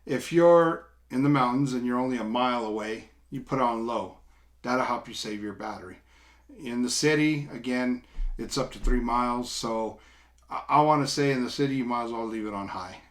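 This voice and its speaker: A middle-aged man giving a casual, unscripted product demo, explaining step by step in an informal, halting way. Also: medium pitch. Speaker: product reviewer. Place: small indoor room, seated at a table.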